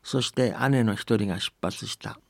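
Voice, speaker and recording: A man's voice: fairly low pitch, moderate pace, no room sound, studio quality. A man telling and explaining folktales conversationally, often checking that the listener agrees. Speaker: storyteller and folktale commentator.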